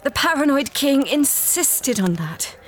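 A weary, guilt-ridden dying scientist. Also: female voice, high voice, very animated. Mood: urgent. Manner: slow, weary and pleading. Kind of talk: audio drama acting. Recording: music underneath.

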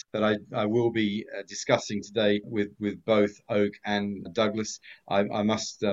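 A man in his fifties with a lowish voice speaks formally and with careful wording as a podcast guest. His remote line sounds dull and lo-fi. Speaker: guest, business advisor and author. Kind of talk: podcast interview.

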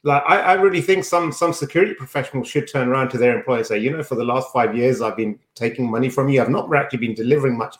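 A man's voice: mid-range voice, fast talker, few pauses. A man chatting casually as a talk show co-host with dry, teasing humour, often repeating his opening words. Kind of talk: casual chat. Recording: roomy, echoing sound.

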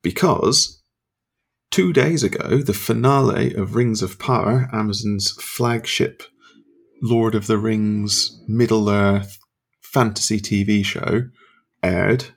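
A man co-hosting a podcast from his home study, talking quietly and chuckling often. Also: fairly low pitch, takes it slowly, vocal fry, slightly rough. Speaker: podcast co-host. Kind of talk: casual chat.

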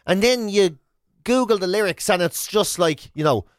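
An Irish man hosting a radio show, telling rambling, animated comic stories in casual banter. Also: high-pitched, normal speed, clean tone, dry recording, clean studio sound.

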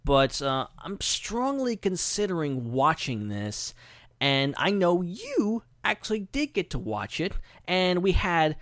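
A man's voice: sits high, big pitch swings, slow, a bit bright, dry recording, clean studio sound. A man hosting a casual live podcast, talking in an effusive, wordy, folksy way. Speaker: podcast host.

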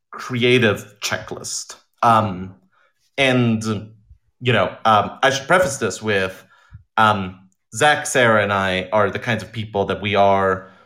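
A man with a fairly low voice talks in a relaxed, informal interview. He lays out his thoughts deliberately, in measured phrases with pauses between them. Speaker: audio drama creator, interviewee. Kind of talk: informal live conversation interview.

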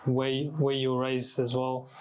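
A man's voice: mid-range voice, monotone, a little slow, a little mellow, rough recording. A man hosting a podcast in a casual, conversational way. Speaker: podcast host. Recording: clear background noise and ambience.

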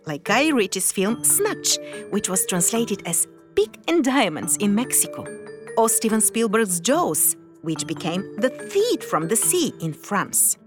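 Woman, first language kazakh, reads a podcast segment like a lesson, lively pitch, music playing under her. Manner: explanatory, measured reading with rhetorical questions. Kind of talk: scripted narration.

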